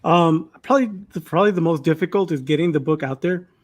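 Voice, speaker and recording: A man talking casually as a panelist, halting as he goes and dropping in short quoted remarks. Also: fairly high pitch. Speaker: children's author, English teacher, panelist. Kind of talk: casual chat.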